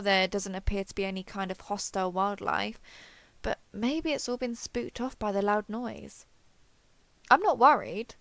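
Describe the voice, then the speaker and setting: A woman's voice: mid-range voice, vocal fry, dry recording. A woman playing a tough, cocky alien explorer and starship captain, wry and gritty, recording a log in an audio drama monologue. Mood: wry, confident. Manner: wry, gritty, self-talking, mildly profane.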